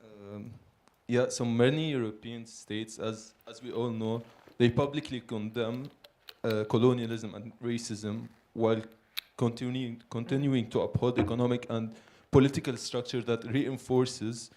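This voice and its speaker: A man's voice: mid-range voice, steady tone, a little slow, hardly any pauses. A young man from Lebanon asking a polite, carefully worded question from the audience at a lecture. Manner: soft-spoken, polite, careful. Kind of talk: question from the floor. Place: lecture hall.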